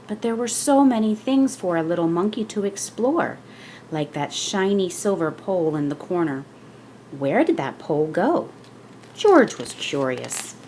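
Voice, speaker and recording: A woman reading a children's picture book aloud as scripted narration, with expressive storytelling delivery. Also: on the low side, a little hiss or hum.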